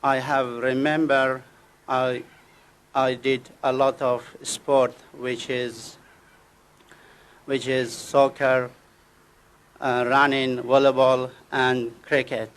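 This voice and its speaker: Slow, halting man from Afghanistan. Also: mid-range voice, little pitch movement, fairly bright tone. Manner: halting, with restarts and broken grammar. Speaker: guest speaker. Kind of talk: prepared speech at a ceremony.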